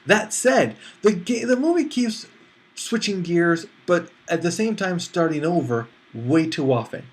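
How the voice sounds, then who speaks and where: Man reviewing movies in a higher-pitched voice. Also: lively intonation, measured pace. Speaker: movie critic and host. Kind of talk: casual spoken film review.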